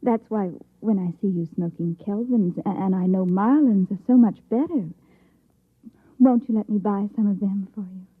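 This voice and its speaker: An actress playing a deferential young secretary with a breathy, coaxing manner, in an old-time radio drama style. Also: female voice, medium pitch, normal speed, close to an everyday voice. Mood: tender, coaxing. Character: solicitous young secretary coaxing her boss with soft devotion. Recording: thin, narrow-band vintage radio recording.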